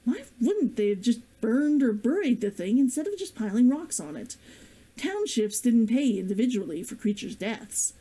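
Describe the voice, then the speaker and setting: A woman streamer and author with an expressive way of talking, chatting to viewers and reading a story aloud. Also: fairly high pitch, normal speed, husky, low-quality recording. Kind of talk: chatty greeting, then storybook read-aloud.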